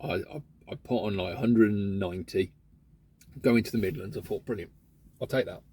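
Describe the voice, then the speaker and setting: Man with a mellow tone, chatty vlogger grumbling about his day with casual swearing. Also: fairly low pitch, moderate pace, slightly rough. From England. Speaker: van courier vlogger. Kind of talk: casual vlog monologue.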